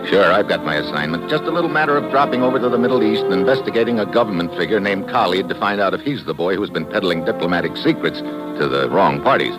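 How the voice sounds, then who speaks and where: An actor playing a tough, wisecracking American government agent in a 1950s radio drama, wry, clipped and slangy. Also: male voice, low-pitched, expressive, fast talker, rough recording. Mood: wry, hard-boiled. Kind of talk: 1950s radio acting, hard-boiled narration. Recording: vintage 1950s radio broadcast, loud music.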